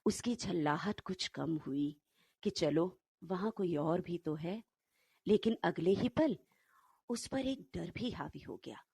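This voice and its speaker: A woman narrating a short story in an unhurried, expressive, dramatic storytelling manner. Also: lowish voice, creaky, slightly rough, no echo.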